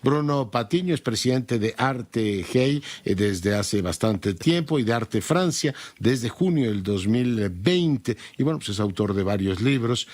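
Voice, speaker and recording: A man's voice: medium pitch, animated, measured pace, few pauses, a little mellow. A man hosting a radio interview, speaking formally and courteously in elaborate phrases. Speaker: radio host.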